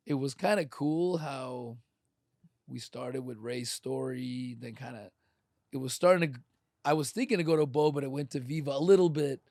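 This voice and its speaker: A man's voice: mid-range voice, vocal fry, a little mellow, no echo, studio quality. A man hosting a podcast, chatting casually with loose, profane, joking banter. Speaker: podcast host. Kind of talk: casual interview chat.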